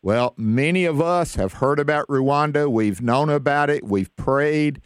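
A man's voice: on the high side, unhurried, clear, resonant tone, dry recording. A man hosting an interview show, speaking as a radio presenter to listeners and guests. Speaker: host.

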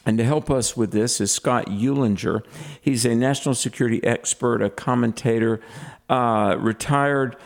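An earnest man sitting in as a talk radio host, asking a guest questions with plenty of ums and uhs. He speaks into a studio microphone at an ordinary middle pitch. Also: fairly even intonation, moderate pace, vocal fry. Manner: earnest, with frequent fillers.

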